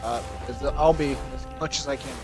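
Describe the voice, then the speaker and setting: A man's voice: mid-range voice, steady tone, fairly quick. A man chatting casually and jokingly while playing a video game, swearing at times. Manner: joking, profane. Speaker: gamer on a co-op let's play. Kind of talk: casual gaming chat. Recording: loud game music behind voice. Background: game music.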